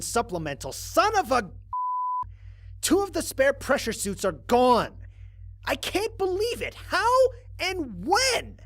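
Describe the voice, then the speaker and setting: A man's voice: high-pitched, husky, heavily acted. A man playing a lone astronaut who narrates his mission logs in a wry, exasperated, conversational way, as in an audio drama. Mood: alarmed, indignant. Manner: conversational, expressive, sardonic. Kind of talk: dramatized first-person log narration.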